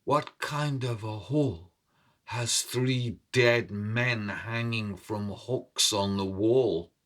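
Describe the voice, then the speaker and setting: A man telling a tale in a deliberate, suspenseful, theatrical storytelling style. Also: on the low side, a bit bright. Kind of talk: dramatic oral storytelling, scripted narration. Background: musical instrument.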